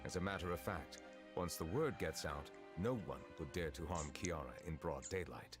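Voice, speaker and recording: An actor playing a calm, stern male chief justice who speaks in a measured, formal way. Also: extremely low pitch. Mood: composed. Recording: game audio with music behind.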